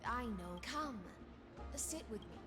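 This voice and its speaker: A woman's voice: a little high, expressive, slow. An actress playing an enigmatic sea siren noblewoman in a game, graceful, teasing and formally secretive. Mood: gracious, teasing. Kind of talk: dramatic game dialogue. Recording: loud music underneath. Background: game music.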